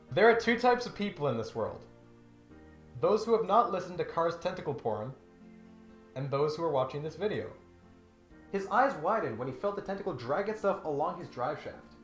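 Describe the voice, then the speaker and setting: A man's voice: fairly high pitch, roomy sound. A man hosting with comic commentary and dramatic reading, in a mock-serious, sarcastic manner. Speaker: host.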